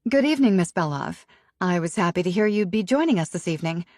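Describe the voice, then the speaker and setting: A woman's voice: lowish voice, animated, fast talker, darkish tone, dry recording, studio quality, close to an everyday voice. A woman narrator voicing a polite female restaurant maitre d' with a courteous, welcoming manner.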